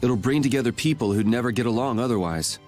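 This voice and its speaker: A man's voice: medium pitch, quick pace, a little mellow, faint music behind, close to an everyday voice. An actor playing a laid-back young swordsman in a video game, speaking in a casual, dry, teasing way. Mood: thoughtful. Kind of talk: video game cutscene acting.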